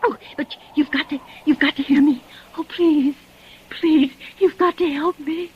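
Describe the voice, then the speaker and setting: A woman's voice: high voice, fairly even intonation, a little slow. An actress playing a terrified woman trapped alone in a house, stammering and pleading down the phone in 1940s radio acting. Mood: pleading panic. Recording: dull old radio recording.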